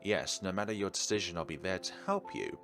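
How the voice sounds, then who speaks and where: A man's voice: low voice, a little fast, over music. A man hosting a let's play, reading visual novel text aloud and adding chatty asides. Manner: chatty asides between read-out narration. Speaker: gaming video host.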